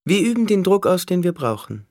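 A man's voice: sits high, big pitch swings, fairly quick, darkish tone, no echo, studio quality, pitched above the performer's own voice. A man voicing a cunning shapeshifter villain in an audiobook, smug and taunting as he negotiates with menace. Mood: self-satisfied. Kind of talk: audiobook character acting.

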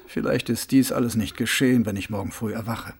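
A man voicing a dazed, weary middle-aged watchman in an audiobook, halting and muttering as he talks. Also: lowish voice, fairly even intonation, breathy edge. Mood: absent-minded. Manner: halting, muttering, absent-minded.

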